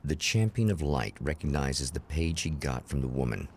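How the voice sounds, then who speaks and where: A gravelly, very deep narrator. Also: male voice, lively intonation, moderate pace, slight background noise. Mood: ominous. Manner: dramatic, ominous announcer delivery. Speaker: game narrator.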